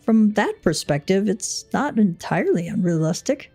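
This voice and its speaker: A woman's voice: medium pitch, big pitch swings, moderate pace, mellow, husky, soft background music. A woman voicing an anxious, devoted inventor husband in a video game, speaking in a formal, wordy, self-doubting way. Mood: hesitant, worried.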